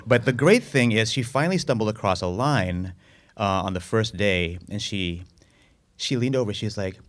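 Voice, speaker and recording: A man's voice: fairly low pitch, animated, moderate pace. A man answering audience questions as a film director, casual and rambling, often restarting and repeating words. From United States.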